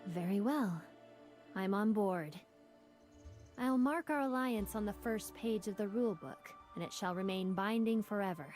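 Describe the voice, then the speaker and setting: A woman plays a sealed female Hypogean demon from a game, calm and resigned with a dry, teasing edge. Her voice sits at a middling pitch, and soft music hums underneath. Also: moderate pace, natural voice. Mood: agreeable. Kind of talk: game voice acting.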